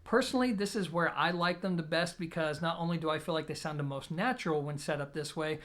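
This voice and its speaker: A man with a slightly high voice, talking through a product review. His delivery is steady and relaxed, explanatory and unhurried in tone. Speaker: audio equipment reviewer.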